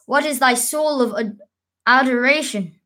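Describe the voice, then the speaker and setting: A brooding, burdened king, read aloud by a woman delivers a stage soliloquy in old verse. The voice is fairly high and very measured, declamatory but halting over some words. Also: pushed and bright. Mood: questioning, scornful. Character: troubled young warrior king, brooding on burdens of rank.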